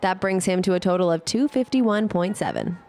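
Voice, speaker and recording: A woman giving scripted sports highlights commentary as a voice-over. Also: expressive, fairly quick, a little mellow. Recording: clear ambient event noise behind voice.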